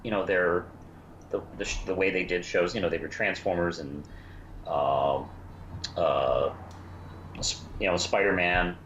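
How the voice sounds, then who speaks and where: Halting, very deep-voiced man in a roomy recording. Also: steady tone, a bit bright. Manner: halting, hesitant, often restarting sentences. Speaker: podcast co-host reviewing cartoons. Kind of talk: casual review chat. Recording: roomy, muffled, noisy home recording.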